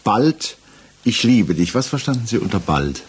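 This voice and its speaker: An actor playing a stern, methodical examining magistrate in a 1960s German radio play, speaking formally, precisely and insistently. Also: male voice, on the low side, sing-song, normal speed, slightly rough, heavily acted. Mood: probing, pressing. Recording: noisy 1960s mono radio recording.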